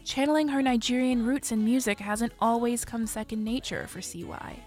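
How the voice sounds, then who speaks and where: A woman reporter narrates a radio feature in a fairly high voice. Her delivery is measured and clear, with soft music faintly behind. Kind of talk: scripted news narration.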